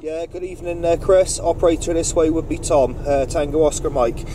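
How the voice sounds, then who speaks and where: Higher-pitched CB operator in heavy noise. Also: male voice, flat delivery, a little fast. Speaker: amateur CB radio operator running a net. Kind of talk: radio call-outs and casual operator chat. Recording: noisy vehicle CB radio setup, distant mic.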